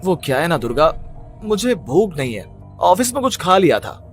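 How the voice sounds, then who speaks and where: A man's voice: fairly high pitch, sing-song, moderate pace, faint music behind. A man voicing an anxious, pleading young husband in a dramatized horror cartoon story, agitated and prone to stammer. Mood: defensive. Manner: agitated, stammering when frightened.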